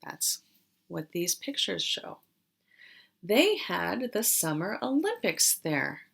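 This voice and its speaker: A woman teacher doing an interactive read-aloud for young children, explaining as she goes and pausing after questions. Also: on the low side, sing-song, creaky, fairly bright tone, breathy edge. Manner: questioning, explanatory, with pauses for answers.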